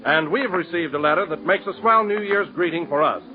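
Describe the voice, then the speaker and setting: A man announces in the booming, formal manner of a 1930s radio host, his voice sitting rather high. The sound is thin and tinny like an old broadcast, and faint orchestra music plays behind him. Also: brisk.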